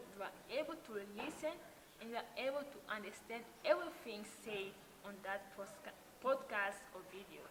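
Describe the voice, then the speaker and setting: A woman's voice: mid-range voice, a bit bright. A roomy-sounding Kiswahili-speaking woman vlogger. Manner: earnest, explains by restating points over and over. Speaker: language-learning vlogger. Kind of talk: casual talk to camera. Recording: roomy echo with clear background noise.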